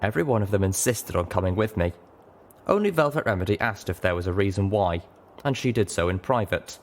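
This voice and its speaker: A man's voice: lowish voice, lively intonation, fairly quick. A man reading a scripted first-person story as an audiobook narrator.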